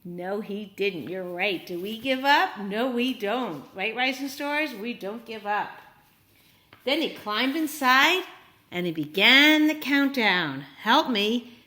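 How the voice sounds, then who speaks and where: A woman reading a story aloud to young children, animated and encouraging, often putting questions to her listeners. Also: a little high, slow pace.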